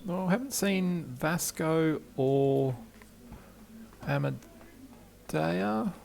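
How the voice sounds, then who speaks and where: Creaky older man playing a game. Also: on the high side, drawn-out words, darkish tone. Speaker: game player commentating. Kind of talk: casual let's play commentary.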